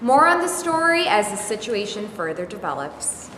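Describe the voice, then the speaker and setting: A woman's voice: medium pitch, very animated, normal speed, fairly bright tone. A woman playing a self-important, eager TV star reporter in a staged play reading, with formal, probing, declarative delivery. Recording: echoey hall, clear background noise.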